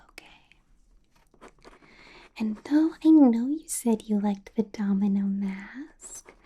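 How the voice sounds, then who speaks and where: A woman playing a doting, possessive vampire boss in a soft, breathy whispered ASMR roleplay, coaxing and fussing with a lightly bossy edge. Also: on the high side, exaggerated voice. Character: doting, possessive female vampire boss, older than she looks.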